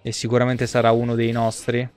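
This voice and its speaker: A man's voice: mid-range voice, completely flat delivery, fairly quick, darkish tone, faint music behind. A man in his thirties doing live gameplay commentary, grumbling and foul-mouthed as he chats casually. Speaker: video game streamer. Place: indoor room at a streaming desk.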